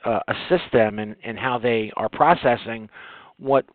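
A male interview host speaks in a mid-pitched voice through a thin, phone-like signal with little room sound. His delivery is conversational and halting, with repeated words as he builds each thought. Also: dry recording.

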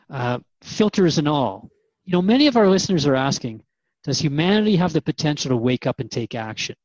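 Radio host male actor, drama: searching, conversational, a little hesitant, slightly high voice, close dry recording. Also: darkish tone, dry recording, lo-fi sound. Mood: earnest, concerned. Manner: thoughtful, conversational, hesitant phrasing. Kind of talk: radio drama interview dialogue.

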